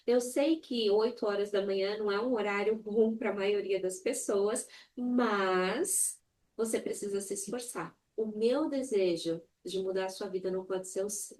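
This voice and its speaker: A woman's voice: medium pitch, a little mellow, slight background noise. A woman speaking as a mentor and podcast guest, exhorting the listener directly with emphatic repetition. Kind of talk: motivational conversational talk.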